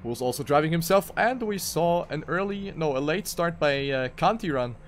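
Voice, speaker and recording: Higher-voiced man commentating an esports race. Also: expressive, normal speed, slight background noise. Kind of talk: live game commentary.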